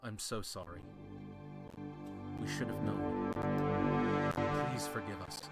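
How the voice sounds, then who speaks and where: Guy playing a low slow reverent man begging forgiveness, audio drama, big music behind. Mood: reverent apology. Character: apologetic, deferential man begging forgiveness of royalty. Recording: drama playback under loud orchestral music.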